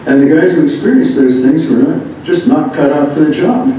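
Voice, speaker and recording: A man with a fairly high, mellow voice reads a memoir aloud in a somewhat halting way. The recording is very echoey, as if the microphone sits well away from him in a bare room. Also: lively intonation. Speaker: reader of a memoir. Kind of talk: reading a written first-person narrative aloud. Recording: muffled, very echoey room, distant mic, rumbling noise.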